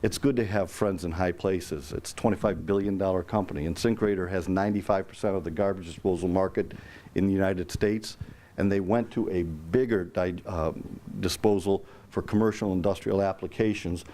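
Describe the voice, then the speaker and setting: A man giving a brisk, promotional business presentation at a roundtable, with some fillers. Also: sits low, steady tone, warm tone, a little hiss or hum.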